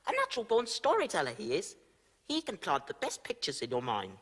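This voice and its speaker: A woman's voice: mid-range voice, wildly swooping pitch, brisk, fairly bright tone, breathy edge, full character voice. A woman playing a wise, self-important owl in an audio drama, speaking in a formal, pompous manner. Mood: explanatory, solemn. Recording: long hall-like reverb.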